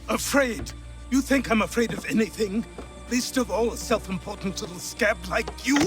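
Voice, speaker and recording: Actor as a bitter, high-pitched gruff old man. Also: normal speed, music in the background, close to an everyday voice. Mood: scornful. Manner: sarcastic, curt, scornful. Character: bitter, gruff old mentor guarding his land. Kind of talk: dramatic video game acting.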